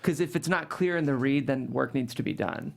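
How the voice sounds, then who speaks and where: A woman with a low-pitched voice speaks quickly. She is agreeable and receptive, chatting informally about her own writing. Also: creaky. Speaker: script writer receiving notes. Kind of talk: casual discussion.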